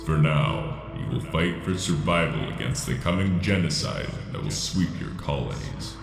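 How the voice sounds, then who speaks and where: Ancient construct, gravelly, pompous, formal, sci-fi drama, music behind. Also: male voice, a bass voice, animated, moderate pace, full character voice. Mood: grave, portentous. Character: ancient arrogant alien machine construct, grave and condescending. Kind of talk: dramatic sci-fi audio acting. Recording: echoing voice under prominent music.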